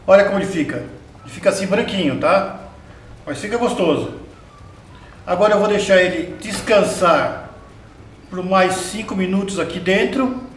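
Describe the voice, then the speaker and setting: A man with a Brazilian Portuguese accent hosts a home cooking lesson, chatty and patient, asking the viewer what comes next as he works. His voice sits fairly high. It rings around a hard-walled kitchen and is picked up from a distance. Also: a little hiss or hum. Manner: chatty, explains step by step, asks the viewer questions. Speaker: cooking show host. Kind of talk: casual cooking demonstration. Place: home kitchen with tiled wall, indoors. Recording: roomy kitchen sound from a distance.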